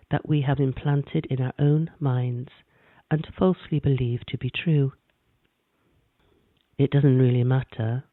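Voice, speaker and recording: A man gently narrating a guided meditation in a calm, measured way. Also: medium pitch, no echo. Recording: dry, tinny phone-like sound.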